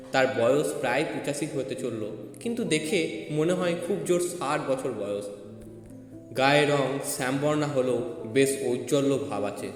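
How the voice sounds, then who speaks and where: Male story narrator in heavy reverb. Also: medium pitch. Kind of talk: scripted first-person story narration. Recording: echoey with long reverb. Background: faint music.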